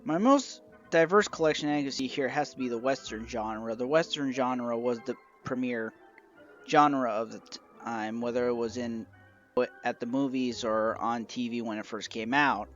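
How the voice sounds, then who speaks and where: A young man giving a measured, informative show-and-tell narration as a collector reviewing his collection. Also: mid-range voice, a bit bright, lo-fi sound, soft background music.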